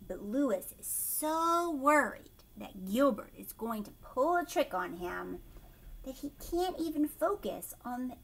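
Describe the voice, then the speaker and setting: A woman reading a children's story aloud like a teacher, expressively, pausing to explain and ask young listeners questions. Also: sits high, big pitch swings, gravelly, a bit bright. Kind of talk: animated children's read-aloud with explanatory asides.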